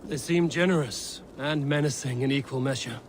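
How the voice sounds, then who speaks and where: An actor playing a bold, blunt, self-assured Viking raider in a video game, with a dry manner. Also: male voice, mid-range voice, moderate pace, noisy background, close to an everyday voice. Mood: probing. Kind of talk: video game cutscene acting.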